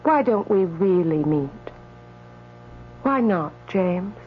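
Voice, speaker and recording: An actress playing a sweet, coolly teasing spirit from the afterlife in a radio drama, matter-of-fact and coaxing. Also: female voice, on the low side, animated, slow pace. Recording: dull radio broadcast, faint mains hum.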